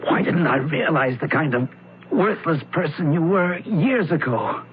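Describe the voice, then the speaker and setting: An actor playing a wounded, reproachful stepbrother in a radio drama, speaking with emotional, accusing intensity. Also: male voice, on the high side, expressive, a little slow. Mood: anguished accusation. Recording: slightly muffled, hissy old radio broadcast.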